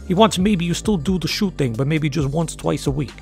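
Man voicing bargaining parrot go-between, high and pushy. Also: animated, fairly quick, gravelly, soft background music. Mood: wheedling. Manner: wheedling, bargaining. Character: fast-talking male parrot go-between.

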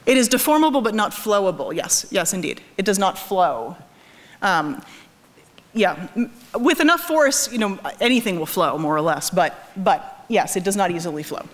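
A woman in her thirties with a New England American accent gives an informal lecture in an animated way, often restarting her sentences. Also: mid-range voice, normal speed. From New England, United States. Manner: animated explaining, self-correcting with frequent false starts. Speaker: physics professor giving a lecture. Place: large auditorium stage.

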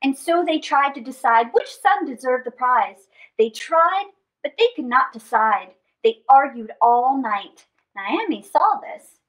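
A middle-aged woman hosting a children's story time, reading and chatting in an animated, theatrical way. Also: high-pitched, takes it slowly, low-quality recording. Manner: animated, theatrical, with dramatic gasps and stretched words. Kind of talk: expressive picture-book read-aloud and chat to children. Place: small indoor space with draped fabric backdrop.

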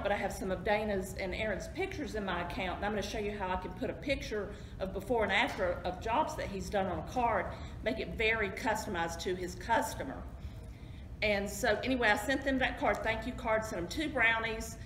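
A woman's voice: mid-range voice, fairly bright tone. A middle-aged woman, a travel agent, giving a friendly, self-deprecating talk to an audience in a hotel meeting room. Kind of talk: informal presentation to an audience. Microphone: far camera, no mic. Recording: echoey room, noisy.

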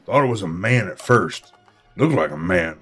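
A proud old country man, acted by a man in an audio drama, speaks in a low voice. He is folksy, earnest and a little shaken, with faint music behind him. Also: expressive, moderate pace, fairly bright tone. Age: older. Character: proud, rattled old small-town man, plainspoken eyewitness.

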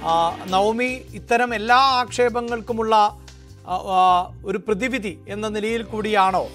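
A man's voice: sits high, takes it slowly, a bit bright. A middle-aged man with a Kerala accent presenting live television news. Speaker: news anchor. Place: TV news studio. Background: news music bed.

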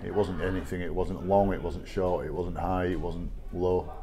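A middle-aged man with an English accent, a rugby coach answering post-match press questions in a measured, halting way with many fillers. He sits at a table in a small press room. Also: sits low, fairly even intonation, a crowd in the background. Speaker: rugby league head coach. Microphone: table microphone.

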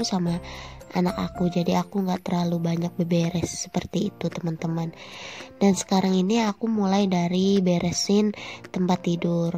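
A woman's voice: lowish voice, warm tone. A young woman with a stuffy, nasal cold voice, narrating her vlog in a chatty way and talking straight to viewers. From Indonesia. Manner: chatty, friendly, addresses viewers directly. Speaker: vlogger and housewife. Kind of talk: casual voice-over vlog narration.

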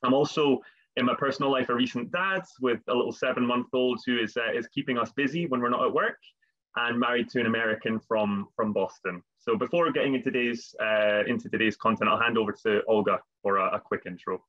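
Halting man presenting on a lo-fi call. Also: medium pitch, fairly even intonation, pushed and bright. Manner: hesitant, repeats words. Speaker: webinar presenter. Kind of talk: webinar presentation. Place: home office, video call. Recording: dull lo-fi video call audio.

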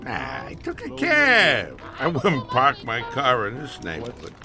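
An actor playing a drunk, sullen and sentimental American mobster, slurred and maudlin, in a crime game. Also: male voice, mid-range voice, wildly swooping pitch, a little slow, gravelly, full character voice. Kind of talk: cinematic crime game dialogue.

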